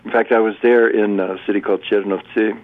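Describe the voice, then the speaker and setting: A man, a bishop and radio guest, speaking in a reflective, halting way with frequent restarts. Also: fairly low pitch, flat delivery. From United States. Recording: tinny phone-line sound.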